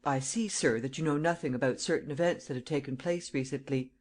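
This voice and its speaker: A reader voicing a calm, commanding police chief who questions in a measured, authoritative way. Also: medium pitch, lightly acted. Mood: firm.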